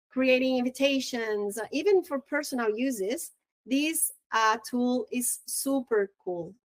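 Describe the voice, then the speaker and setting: A woman's voice: sits high, fairly bright tone, dry recording. A woman with a Brazilian accent, Portuguese her first language, giving a casual, upbeat training on tools, often restarting her words. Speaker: real estate trainer.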